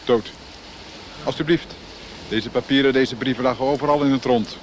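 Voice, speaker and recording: An actor playing a guarded middle-aged monk in a radio play, speaking in terse, measured, insinuating lines. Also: male voice, mid-range voice, fairly even intonation, natural voice. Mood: evasive. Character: guarded, cryptic monk of about forty, quietly menacing. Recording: noisy old radio drama, outdoor effects.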